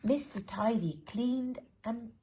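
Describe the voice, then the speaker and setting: A woman reads a children's bedtime story expressively and deliberately, speaking directly to young listeners with a breathy, husky voice. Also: fairly high pitch, darkish tone, slight background noise.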